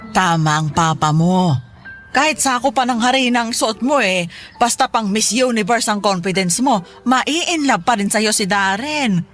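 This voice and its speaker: A woman's voice: fairly high pitch, animated, moderate pace, a bit bright, soft background music. An actress playing a protective, teasing middle-aged Filipino mother in a radio drama, bantering in a motherly way. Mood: protective, encouraging.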